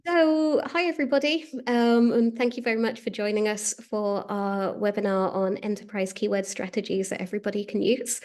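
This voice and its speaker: A woman's voice: medium pitch, steady tone, moderate pace, hardly any pauses, a little mellow. A woman hosting a webinar as a marketing director, talking casually with lots of "um"s. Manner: chatty, many fillers. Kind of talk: presenting a webinar.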